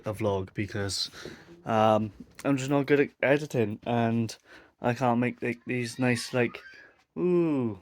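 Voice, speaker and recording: A Welsh man in his twenties from South Wales, rambling through a casual Q&A vlog to a mic and camera with lots of fillers. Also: medium pitch. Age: 20s. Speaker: vlogger. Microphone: mic facing camera.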